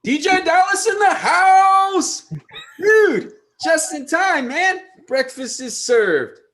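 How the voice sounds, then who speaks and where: A man's voice: very high voice, lively intonation, takes it slowly, a bit bright, exaggerated voice. A man playing an easygoing, chummy, teasing teenage son in a comedy table read. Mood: exuberant, chummy.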